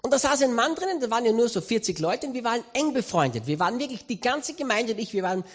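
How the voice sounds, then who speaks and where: A man with an Austrian accent preaching a sermon, telling a story with humor and lively emphasis. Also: very high voice, fast. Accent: Austrian German. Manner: animated, humorous anecdotal delivery.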